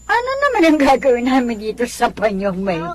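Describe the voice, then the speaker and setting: A woman's voice: fairly high pitch, huge pitch swings, a little fast, loud music behind the voice. A woman playing a mocking neighborhood drinker in a religious radio drama.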